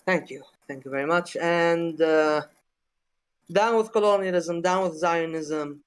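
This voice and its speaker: A man putting on the voice of an effusive, grateful male guest in a high, strained tone. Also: unhurried, smooth, natural voice.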